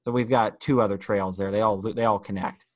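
Male podcast co-host, lowish voice, halting off-the-cuff banter, close dry sound. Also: fairly even intonation, dry recording. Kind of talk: casual chat among friends.

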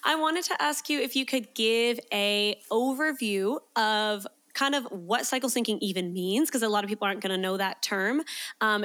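This is a young woman hosting a podcast interview, bubbly and chatty, often breaking into laughter. Her voice sits at an ordinary middle pitch for a woman. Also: a bit bright. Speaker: podcast host.

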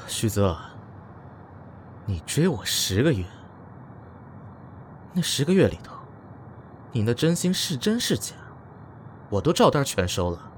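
A proud young star in an audio drama. He is sarcastic and unyielding, with a middle-pitched voice that moves around a lot. Faint noise sits behind him. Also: sing-song, a little slow, pauses often. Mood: cold, defiant. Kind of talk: Chinese audio drama acting.

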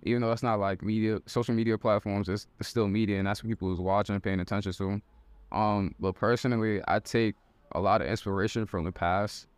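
A man's voice: lowish voice, fairly even intonation, a little fast, smooth, dry recording. A young man from the US in a casual group conversation, talking bluntly and loosely with plenty of swearing. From United States. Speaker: member of a creative group.

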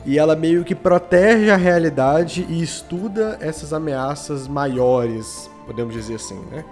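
A man's voice: fairly high pitch, measured pace, darkish tone, soft background music. A man with a Brazilian accent speaking as a podcast guest, explaining things in an informal, rambling way. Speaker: podcast guest, audio drama creator. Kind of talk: casual interview chat.

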